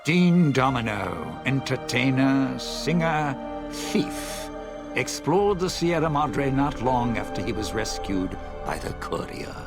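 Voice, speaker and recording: An actor narrating a video game epilogue as a wry, worldly storyteller with deliberate, dramatic pauses. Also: male voice, mid-range voice, sing-song, creaky, exaggerated voice. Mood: wry, reflective. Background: music.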